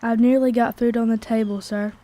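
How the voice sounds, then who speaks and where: A teenage girl as a soft-toned, polite servant girl. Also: a little high, steady tone, a little fast, natural voice. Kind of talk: amateur school radio play acting.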